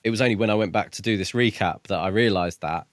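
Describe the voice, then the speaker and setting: A man's voice: on the low side, quick pace, dry recording. A man co-hosting a podcast, recapping and casually discussing a book. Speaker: podcast co-host. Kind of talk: casual chat.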